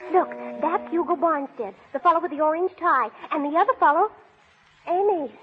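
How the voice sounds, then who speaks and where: A woman's voice: very high voice, slight background noise. An actress playing a confident, teasing, bossy young woman in a 1930s radio drama. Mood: excited, bossy. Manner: brisk, teasing. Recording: muffled old radio recording with music.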